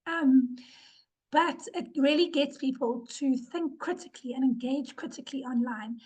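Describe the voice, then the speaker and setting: Woman presenter, ill and hoarse, high and husky, earnest webinar talk with fillers. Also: normal speed, darkish tone, poor audio. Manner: earnest, repeats words for emphasis, with fillers. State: ill, losing her voice. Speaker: webinar presenter, organization director.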